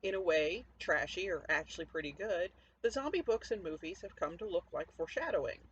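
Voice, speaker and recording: A woman's voice: lowish voice, lively intonation, a little fast. Woman writer doing a story reading at home, informal, kinda dull sound w a bit of noise. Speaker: author reading own fiction aloud. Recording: dull lo-fi audio, noticeable background noise.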